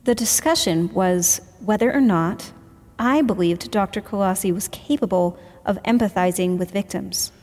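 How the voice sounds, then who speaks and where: A woman's voice: mid-range voice, moderate pace, a little mellow, lightly acted. A woman playing a guarded, candid witness under questioning in an audio drama, answering tersely and carefully. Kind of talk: fiction podcast audio drama. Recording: echoey hall with long reverb.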